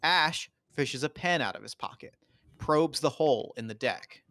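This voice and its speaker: A man's voice: a little high, lively intonation, moderate pace, sharp tone, dry recording. Man reading screenplay directions, dry close sound. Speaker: narrator reading stage directions. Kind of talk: scripted narration of a screenplay at a table read.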